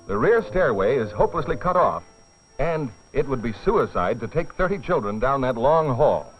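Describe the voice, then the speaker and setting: Man, dramatic documentary narration, grave and deliberate, dull film soundtrack, music behind. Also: mid-range voice.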